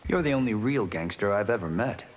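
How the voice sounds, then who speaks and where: An actor playing an earnest young Shaolin monk fighter in a video game, speaking sincerely and politely. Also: male voice, lowish voice, animated, a little fast, natural voice. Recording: long hall reverb, faint noise.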